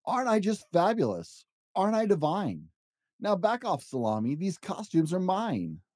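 A bratty, self-obsessed pug dog, put on by a man who brags about himself in a high, swooping voice. The storybook show-off crows for attention over a dry, close sound. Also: wildly swooping pitch, moderate pace, a little mellow, dry recording, full character voice. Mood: boastful, bratty. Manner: showy, attention-hungry bragging. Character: boastful self-centered male pug dog.

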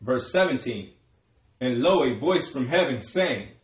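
A man's voice: medium pitch, lively intonation, a little slow. A man reading Bible verses aloud in a formal, declamatory way as a scripture reader. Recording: echoey room.

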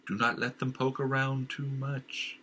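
A man voicing a scornful, disembodied voice inside a king's head, drawing his words out slowly and needling the king. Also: medium pitch, flat delivery, sharp tone, slight background noise, pitched above the performer's own voice. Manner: slow, drawn-out, needling delivery.

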